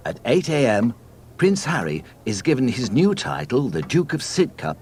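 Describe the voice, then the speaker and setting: A man narrating a scripted read-along storybook in a deliberate, deadpan way. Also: medium pitch, a little hiss or hum.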